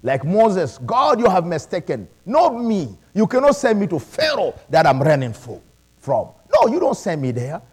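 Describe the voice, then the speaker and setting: A middle-aged man who learned English later, preaching a sermon with animated calls of praise. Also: sits high, normal speed, husky. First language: not English. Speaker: pastor.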